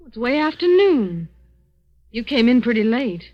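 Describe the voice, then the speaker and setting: A brisk American woman plays the worried, scolding sister with a fairly high, swooping voice. The sound is that of a dull 1940s radio drama recording. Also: sing-song. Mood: urgent. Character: fussing, practical, worried sister of a troubled man.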